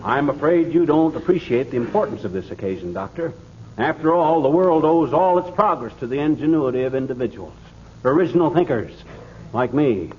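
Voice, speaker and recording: A man's voice: fairly high pitch, lively intonation, a little fast, lightly acted. An American man playing a blustering, self-important, gullible husband in 1940s radio comedy, folksy and given to pompous speeches. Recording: muffled, noisy 1940s radio recording.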